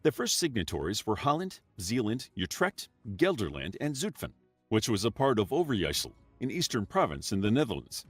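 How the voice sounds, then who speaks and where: Male documentary narrator with a slightly husky voice and an animated delivery, over faint background music. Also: lowish voice, dry recording. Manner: even, measured reading. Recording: narration from a played video with music under it.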